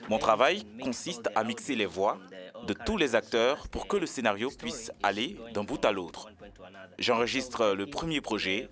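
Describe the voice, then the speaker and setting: A man's voice: mid-range voice, animated, a little slow, crowd noise. A man explaining his work as an audio producer in an interview. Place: recording studio. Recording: music playing behind speech. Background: music.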